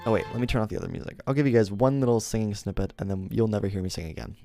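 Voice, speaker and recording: A man's voice: on the low side, racing, warm tone, faint music behind. A young man streaming, chatting casually and rambling, often correcting himself and laughing.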